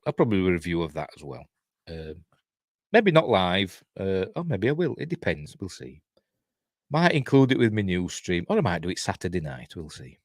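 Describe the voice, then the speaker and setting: A middle-aged Yorkshire man chats on a livestream in a low voice that swoops up and down. He rambles through asides and fillers, poking fun at himself. The sound is close and dry. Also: dry recording. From Yorkshire, England. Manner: rambling and chatty, with frequent fillers and self-deprecating asides. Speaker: channel host reviewing TV. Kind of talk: casual livestream chat.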